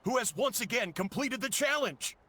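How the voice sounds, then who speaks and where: An actor playing an excited, celebratory contest announcer in a video game. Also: male voice, extremely high pitch, moderate pace, heavily acted.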